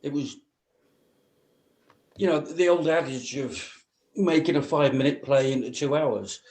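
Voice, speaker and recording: A man's voice: fairly high pitch, unhurried, a little mellow. A man giving a casual, blunt, colloquial critique as a member of a script panel. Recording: echoey room sound.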